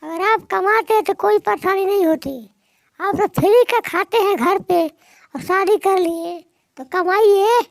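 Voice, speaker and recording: A woman's voice: very high-pitched, a little slow, gravelly, no echo, heavily acted. A woman voicing a headstrong young married daughter in a moral-story cartoon, pleading and scolding in plain words. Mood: reproachful.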